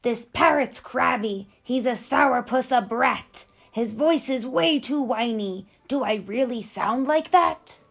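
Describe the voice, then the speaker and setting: A woman's voice: on the high side, slow pace, creaky, hardly any pauses, voice pushed up, full character voice. A woman voicing a grumpy male pirate who whines and grumbles in a children's storybook. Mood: crabby, whiny. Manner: whiny, grumbling pirate talk.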